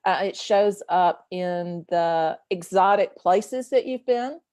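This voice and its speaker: An attentive woman interviewer asks questions in an unhurried, deliberate way and affirms what she hears. Her voice sits a little low for a woman. The sound is dry, with almost no room echo. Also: warm tone. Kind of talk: casual interview.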